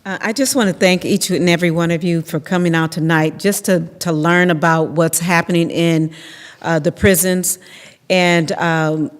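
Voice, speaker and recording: A middle-aged woman speaks earnestly as an activist, repeating herself for emphasis. Her fairly low voice carries the reverb of a large hall. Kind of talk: spontaneous public appeal. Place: large synagogue hall, indoors. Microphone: podium microphone.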